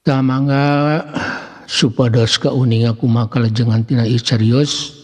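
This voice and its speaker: Long reverb, male storyteller, Sundanese accent, slow pace, formal measured delivery, scripted dramatic narration. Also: mid-range voice, dark tone, dull audio. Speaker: narrator of Sundanese serial tales.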